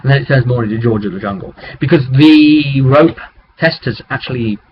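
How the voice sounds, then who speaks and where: A man's voice: medium pitch, moderate pace. A man telling the story of a tabletop roleplay session as its game master, casual, colloquial and rambling, recorded on a webcam microphone. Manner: rambling, colloquial, acting out sound effects. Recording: dull, noisy webcam microphone.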